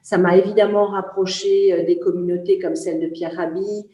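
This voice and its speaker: A woman from Senegal speaks earnestly, often pausing to search for her words. Her voice is warm and mellow. The room around her gives a roomy echo. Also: fairly low pitch, flat delivery, dull audio. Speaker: guest, trainer. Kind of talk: spoken testimony.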